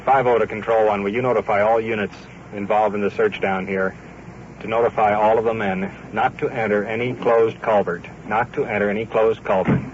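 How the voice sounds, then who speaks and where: A man's voice: on the low side, fairly even intonation, fairly quick. An American man, a police officer, giving practical orders and radio instructions in the field. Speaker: police officer directing a search. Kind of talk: brisk operational orders and radio calls. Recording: dull 1950s field tape, faint noise.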